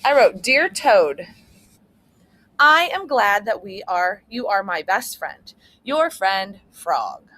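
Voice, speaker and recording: A woman's voice: medium pitch, big pitch swings, takes it slowly, exaggerated voice. A woman voicing a caring, encouraging male frog, a loyal friend in a children's storybook, speaking in a coaxing way. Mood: affectionate. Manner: concerned, gently coaxing.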